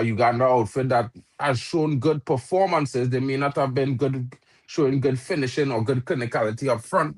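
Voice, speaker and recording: A man who is not a native English speaker, giving casual live football commentary and chat in slangy talk peppered with "bro". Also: mid-range voice. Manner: slangy, says "bro" often. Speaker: football watchalong co-host.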